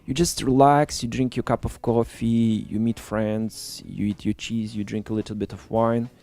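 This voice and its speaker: A man's voice: mid-range voice, flat delivery, normal speed, mellow. A middle-aged man, a musician born in Russia and raised in Ukraine, chatting in an interview with many fillers, restarts and phrasing. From born in Russia, grew up in Ukraine. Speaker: musician guest. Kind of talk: casual interview chat. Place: small studio.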